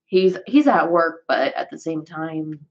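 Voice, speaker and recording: A woman's voice: low voice, measured pace. A woman with a Southern US accent, chatting casually and rambling on a livestream, with lots of fillers and restarts. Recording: dull lo-fi sound.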